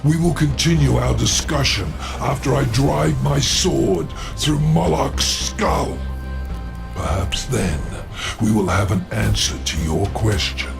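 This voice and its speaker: An actor playing a proud, cunning demon lord in a video game, speaking in a courtly, grandiose way. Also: male voice, medium pitch, animated, slow pace, vocal fry, music in the background, full character voice. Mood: haughty, amused. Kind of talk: video game cutscene acting.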